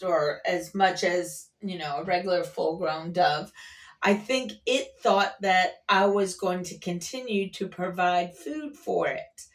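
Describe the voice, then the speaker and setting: A woman's voice: lowish voice, distant mic. A woman vlogger telling rambling, casual stories in a chatty, folksy and playful way. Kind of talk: casual rambling storytelling to camera. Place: at an airport workplace.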